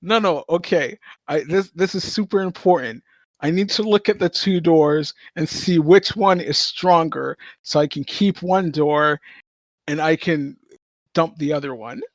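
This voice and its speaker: Male player, slightly high voice, dry close sound, casual table chat. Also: unhurried, no room sound.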